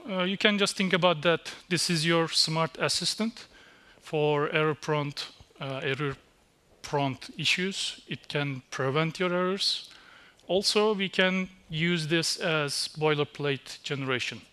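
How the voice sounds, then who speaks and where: A man, giving a technical conference talk on a dark stage, halting with fillers and restarts. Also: a little high, clean tone. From Turkey. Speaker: conference speaker. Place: dark stage in a small venue.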